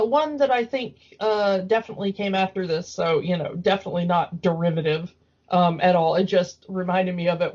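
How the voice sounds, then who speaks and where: A woman in a casual, discursive fan chat about cartoons, talking in an animated, opinionated way. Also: fairly high pitch, highs cut off. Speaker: podcast co-host.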